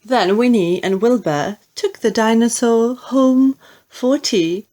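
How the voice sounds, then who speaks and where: A woman narrating a children's storybook in an expressive, deliberate way. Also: fairly high pitch. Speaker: audiobook narrator.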